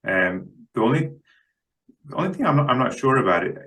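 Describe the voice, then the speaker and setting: A man speaking as a guest analyst in an unscripted interview, halting and often repeating the starts of words. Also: medium pitch, big pitch swings, fairly bright tone. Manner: halting, stammers on word starts.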